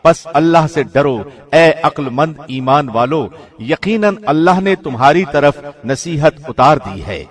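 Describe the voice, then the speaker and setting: A man reading a scripture translation from a script, with measured, formal diction like a radio presenter. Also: fairly high pitch, expressive. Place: studio.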